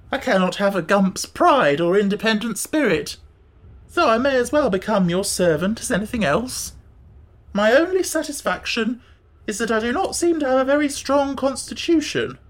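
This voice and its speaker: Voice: mid-range voice, big pitch swings, moderate pace, pitched above the performer's own voice, natural voice. A gloomy, careless storybook creature, acted out by a narrator, speaks in a raised voice. It sounds mournful and aloof, as if resigned to serving others. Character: gloomy, aloof flying creature with an animal head.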